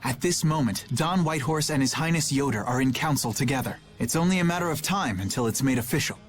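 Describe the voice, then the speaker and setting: An actor playing a principled young knight captain in a video game, formal, solemn and sincere. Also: male voice, mid-range voice, lively intonation, fast talker, soft background music, close to an everyday voice. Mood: firm, official.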